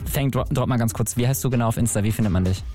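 A man's voice: mid-range voice, very fast, mellow. Chatty male host over background music. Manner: chatty, rambling, informal with English slang. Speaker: radio or show host interviewing a guest. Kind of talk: casual youth-show interview, slangy.

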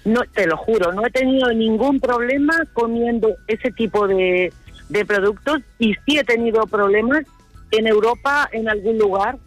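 This is a woman phoning in to a radio show. Her voice is high, and she chats casually, poking fun at herself as she goes. Light music plays underneath. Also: normal speed, no room sound, low-quality recording. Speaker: radio phone-in caller. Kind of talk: casual anecdotal chat.

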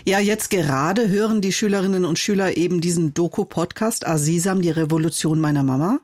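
A woman reporting live to the radio studio, explaining the story in a clear, informative way. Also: lowish voice, fairly quick, darkish tone, no room sound.

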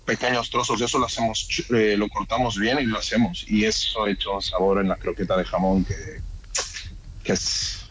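A man's voice: on the low side, little pitch movement, normal speed, fairly bright tone, a little hiss or hum, rough recording. A man from Ecuador who grew up in Madrid, with a Madrid Spanish accent, chatting as a restaurant owner in an interview and often restarting sentences. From Ecuador, raised in Madrid. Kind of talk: casual interview conversation.

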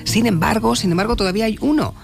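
Playful male radio host, slightly high. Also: fast, vocal fry, soft background music. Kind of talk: lively radio chat. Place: radio studio.

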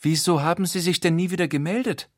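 A man's voice: sing-song, a little slow, bright, forceful tone, no echo, studio quality, exaggerated voice. A man voicing a haughty, easily offended wealthy woman in an audiobook, formal and indignant. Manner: formal, offended, shrill when upset.

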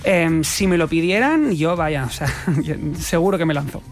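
A young man from Spain, an actor, chatting casually as an interview guest, quick and animated, laughing often and restarting his phrases. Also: on the high side, soft background music.

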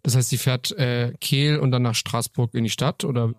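A man's voice: mid-range voice, steady tone, moderate pace, dry recording. A man hosting a studio interview, asking probing follow-up questions. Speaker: podcast host. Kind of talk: interviewing guests.

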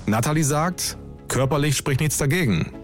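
A man's voice: fairly high pitch, animated, normal speed, soft background music. A man hosting a documentary podcast, telling the story in scripted narration and laying out questions one by one.

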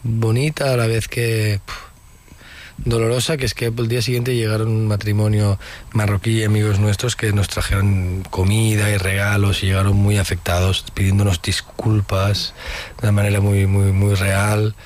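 A man's voice: fairly low pitch, flat delivery, moderate pace, a little mellow, slight background noise. A man in an interview, recalling events in a storytelling manner, acting out quotes and ending on tag questions. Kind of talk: conversational interview.

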